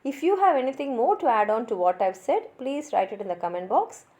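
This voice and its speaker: Higher-pitched, lively woman presenting a lesson. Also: a little fast. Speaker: presenter of literature summary lessons. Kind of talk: scripted educational narration.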